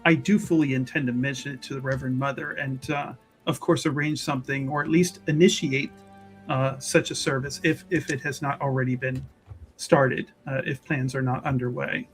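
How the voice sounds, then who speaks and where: A man's voice: medium pitch, steady tone, moderate pace, soft background music, natural voice, low-quality recording. A man voicing a polite, fussy, well-traveled Chantry woman investigator in a tabletop game, speaking formally and hesitantly with repeated words. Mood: earnest, obliging. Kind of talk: tabletop roleplay dialogue.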